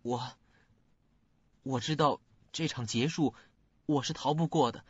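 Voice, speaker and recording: An actor playing a guilt-ridden, bitter student in a suspense radio drama, with halting dramatic delivery. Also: male voice, mid-range voice, breathy edge, no echo. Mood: pleading, fearful. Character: guilt-ridden, bitter student living with mother, haunted by calls. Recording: dull lo-fi audio, faint music.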